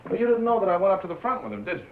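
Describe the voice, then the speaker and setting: An actor playing a brash, boozy, bitter radio executive in a 1950s film drama, talking in glib, slangy, back-slapping patter. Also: male voice, sits high, big pitch swings, very fast. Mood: boozy, expansive. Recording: tinny, noisy 1950s film soundtrack.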